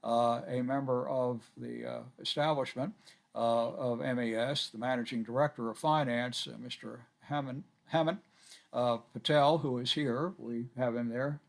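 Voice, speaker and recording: Older guy chairing a video call meeting, gravelly voice, lots of uhs. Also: mid-range voice, steady tone. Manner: halting, many filler uhs, courteous. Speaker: board chairman.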